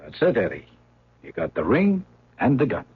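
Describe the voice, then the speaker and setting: An actor playing a hard police lieutenant in a radio drama, husky, blunt and accusing, on a narrow old recording. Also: male voice, medium pitch, huge pitch swings, moderate pace, exaggerated voice. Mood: accusing, firm. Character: hard, insistent male police lieutenant interrogating. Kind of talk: 1970s radio drama acting.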